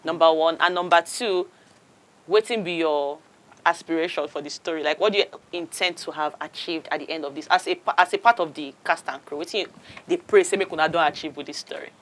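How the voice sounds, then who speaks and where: A woman's voice: sits low, fairly quick. A Nigerian woman with a breezy, informal manner, hosting a casual studio interview and mixing Pidgin with English. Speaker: talk show host.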